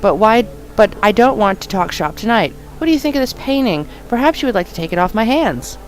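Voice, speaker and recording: A woman plays a slippery male art dealer from a video game. He drawls his lines in an affected, lofty way. The voice sits at a middling pitch. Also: big pitch swings, a little fast, soft background music, close to an everyday voice, rough recording. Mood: drawling. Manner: drawling, affected, calls people darling.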